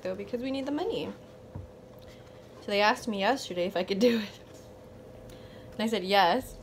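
A woman chats casually as if filming a vlog of her day. She takes her time, speaking at an unhurried pace, with faint background noise around her. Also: mid-range voice. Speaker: vlogger, singer-songwriter.

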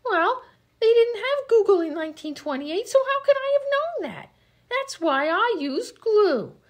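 A woman's voice: very high-pitched, very animated, a little slow, heavily acted. A woman playing a dim, chatty 1920s vaudeville comedienne, slangy and easily indignant, in an old-time radio comedy sketch. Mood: naive, defensive. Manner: scatterbrained, slangy, literal-minded.

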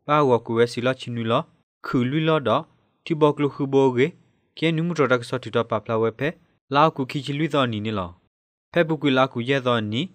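A male news reader delivers a scripted radio bulletin in a medium-pitched voice. The sound is dry and close, with no room around it. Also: dry recording.

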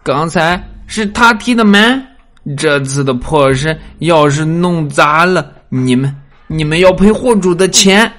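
A man acts out a slow-witted, grudging workshop underling for an audiobook drama. The voice is rather high, with slurred, stammering words. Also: expressive, fairly bright tone. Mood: accusing.

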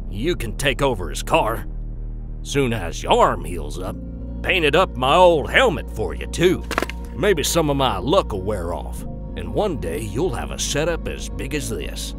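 Man playing gruff, animated derby boss Al. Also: mid-range voice, measured pace, music in the background. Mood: apologetic. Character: rough, gruff male derby mechanic boss. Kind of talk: comic dialogue acting.

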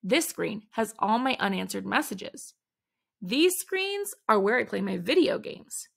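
Woman storyteller for a kids' read-aloud: slow, slightly gravelly, expressive. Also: mid-range voice. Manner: animated, dramatic emphasis on exclamations. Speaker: children's book reader.

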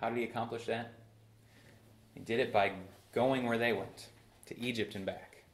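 A young man in his twenties preaching a sermon from a church pulpit, with bursts of emphasis and slow, drawn-out phrases. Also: mid-range voice, fairly even intonation. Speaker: pastor. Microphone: far camera in a roomy church. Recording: echoey church room.

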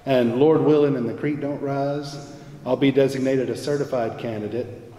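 A man's voice: medium pitch, fairly even intonation, normal speed, warm tone. A man in his forties earnestly reading a prepared testimony from the pulpit of a large church. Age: 40s. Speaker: church music minister. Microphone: pulpit mic, far camera. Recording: echoey church from afar.

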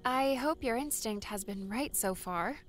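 A woman's voice: on the high side, lively intonation, measured pace, faint music behind. A woman playing an earnest, encouraging young woman in a dramatised audiobook. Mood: grateful. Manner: earnest, reassuring.

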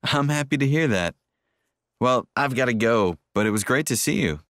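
A man's voice: medium pitch, lively intonation, normal speed, fairly bright tone, no echo, studio quality. A man acting a friendly acquaintance in a scripted textbook dialogue.

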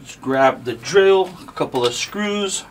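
Guy in his 30s doing an unboxing, kinda high voice, upbeat and informal, noisy background. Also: expressive, unhurried. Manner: enthusiastic, informal, punchy short phrases. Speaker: product demo host. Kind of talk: casual unboxing demo to camera. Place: indoor car audio showroom. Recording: clear background noise.

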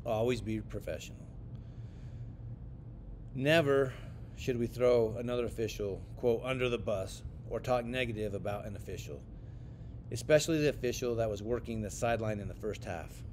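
An American man giving scripted training narration as an instructor for sports officials, in a measured, instructional way. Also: darkish tone. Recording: clear rumbling background noise.

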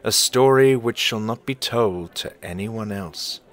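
A deep-voiced actor as a mysterious storyteller in an audio play. He speaks slowly and formally, with gentle, portentous wisdom. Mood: musing, enticing. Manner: measured, portentous, old-fashioned formal phrasing. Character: gentle, wise, mysterious male storyteller of Christmas tales. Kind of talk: audio drama acting.

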